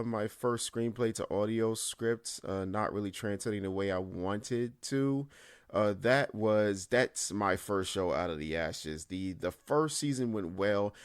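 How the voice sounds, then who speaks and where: A man's voice: fairly low pitch, dry recording, studio quality. A man speaking as an interview guest, giving casual answers about his creative work.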